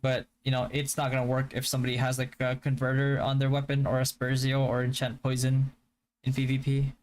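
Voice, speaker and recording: A young man from the Philippines whose first language is Hiligaynon, chatting casually on a live stream and answering viewer questions in a relaxed, stop-start way. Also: medium pitch, brisk. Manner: relaxed, stop-start, with frequent fillers and restarts. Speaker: game streamer.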